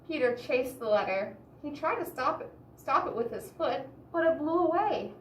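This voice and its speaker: A woman reading a picture book aloud expressively to children, pausing to explain and ask the listeners questions. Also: high-pitched, expressive. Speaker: children's story reader. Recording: roomy echo, distant mic, background hiss.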